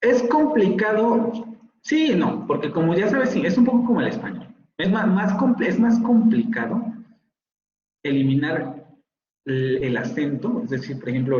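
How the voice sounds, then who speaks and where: A man's voice: mid-range voice, darkish tone, slight background noise. Mexican male teacher on a video call, explains patiently, echoey room, muffled sound. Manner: explanatory, checks in with students. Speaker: university English teacher. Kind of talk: lecturing in an online class. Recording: roomy, dull online call audio.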